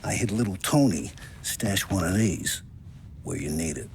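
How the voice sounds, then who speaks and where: An actor playing a streetwise American mob gunsmith in a game cutscene, speaking in blunt, clipped slang. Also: male voice, deep voice, lively intonation, measured pace, vocal fry, a little hiss or hum, exaggerated voice. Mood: confiding. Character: streetwise mob gunsmith, gruffly confiding man. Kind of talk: video game cutscene acting.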